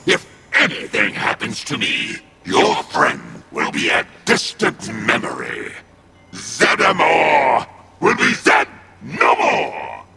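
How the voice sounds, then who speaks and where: A menacing video game ghost with grandiose, taunting delivery. The voice is pitched very high for a male and swoops widely, croaking at the edges. He draws out his boasts with pompous scorn. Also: huge pitch swings, takes it slowly, background noise, exaggerated voice. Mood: threatening, gloating. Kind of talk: video game villain acting.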